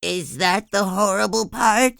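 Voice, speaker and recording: Woman voicing sick cartoon sponge boy: very high, nasal, stuffy, slow delivery, dry read. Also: gravelly, a bit bright, clear, resonant tone, no echo. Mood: sick, pleading. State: sniffly with a cold. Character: cheerful young male sea sponge, eager. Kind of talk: storybook read-aloud.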